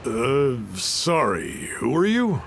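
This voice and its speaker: A man's voice: mid-range voice, big pitch swings, takes it slowly, a little hiss or hum. A man playing a wary adult townsman who talks in a suspicious, snappy way, in video game dialogue. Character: wary adult male townsman, touchy and defensive.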